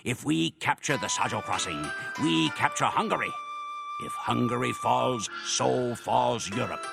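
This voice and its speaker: A man narrating a war campaign in solemn, measured scripted narration for game cutscenes. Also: medium pitch, gravelly. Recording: game cutscene audio with loud music.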